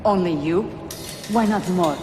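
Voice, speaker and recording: Proud, higher-voiced, hot-tempered fighter. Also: male voice, a little slow, echoey room, long reverb tail, noisy background. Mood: wary, challenging. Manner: brusque, challenging. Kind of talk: dramatic video game acting.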